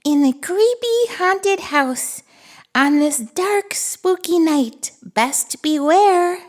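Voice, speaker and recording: A woman voicing a friendly, excitable little monster who reads picture books to toddlers with gleeful wonder. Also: extremely high pitch, full character voice. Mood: playfully spooky. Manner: gleeful, giggly, breathless wonder, drawn-out words. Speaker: children's read-aloud host. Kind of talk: animated picture-book read-aloud for toddlers.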